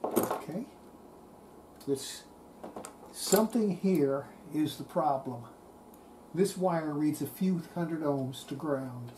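A woman's voice: deep voice, slow pace, pauses often, warm tone. An older woman giving casual hands-on commentary at a workbench as a radio restorer, muttering to herself while working. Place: cluttered indoor electronics workbench. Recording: noisy workshop room recording.

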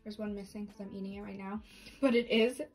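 A woman's voice: medium pitch, fairly even intonation, brisk, a little mellow, soft background music. A young woman vlogger talking through a recipe in her home kitchen, chatty and offhand, thinking aloud as she bakes. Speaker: baking vlogger. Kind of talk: casual bake-along narration. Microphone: camera on the counter, speaker mostly off-frame.

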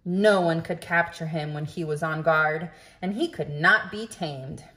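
A woman reading a children's book aloud in a deliberate, expressive way, as if speaking directly to young listeners. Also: low voice. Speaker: storybook reader. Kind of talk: children's read-aloud narration.

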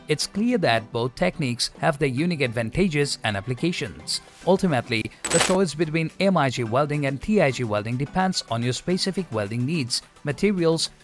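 Guy with a slightly high voice reading an explainer script, smooth and even, soft music behind. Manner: even, polished, no fillers. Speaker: channel narrator.

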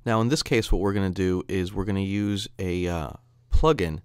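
A man narrating a software tutorial as an instructor, explaining in a conversational way. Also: low voice, moderate pace, clear, resonant tone, dry recording, studio quality. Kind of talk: tutorial narration over screen demo.

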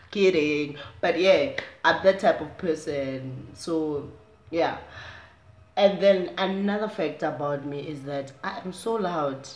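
A young South African woman with a low voice, chatting casually to the camera in a small room at home, animated and chatty. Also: slow. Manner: chatty, animated, gestures while talking. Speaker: vlogger. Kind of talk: casual direct-to-camera chat. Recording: echoey room.

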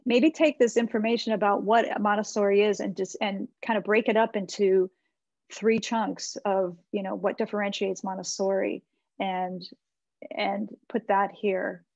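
An American woman in middle age talks conversationally, with plenty of fry in her voice. She sounds like an encouraging consultant thinking aloud as she reviews work. The sound is close and dry, slightly dulled by a video call. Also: medium pitch, little pitch movement, measured pace, a little mellow, no room sound, highs cut off. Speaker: webinar presenter, website marketing consultant. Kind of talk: informal website critique and advice. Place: small indoor room with bookshelves. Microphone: computer mic on a video call.

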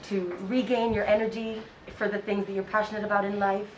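A woman's voice: medium pitch, level tone, a little fast, far from the mic, slight background noise, rough recording. A woman in her twenties from Kenya, a school counseling intern, giving earnest interview answers with fillers and restarts while sitting outdoors on a school campus. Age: 20s. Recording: voice set back from the mic, music underneath.

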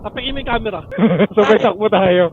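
A man's voice: sits high, fairly quick, crowd noise. A Filipino man chatting casually and crudely with friends, swearing freely, while out on a motorcycle ride. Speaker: rider in a group of friends. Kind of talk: casual banter. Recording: thin phone-like intercom, vehicle noise. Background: vehicle and outdoor noise.

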